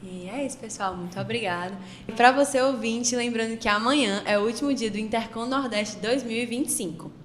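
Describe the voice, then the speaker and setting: A woman's voice: on the high side, fairly quick, hardly any pauses. A woman co-hosting a panel podcast, putting questions to guests and addressing listeners. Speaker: podcast co-host. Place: makeshift studio at a university campus event. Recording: long reverb, faint ambient noise.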